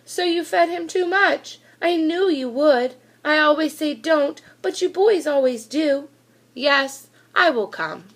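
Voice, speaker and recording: Woman reading a chiding storybook fish expert, unhurried. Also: high-pitched, voice pushed up. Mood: weary scolding. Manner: chiding, instructive. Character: knowing, mildly scolding man who rescues fish.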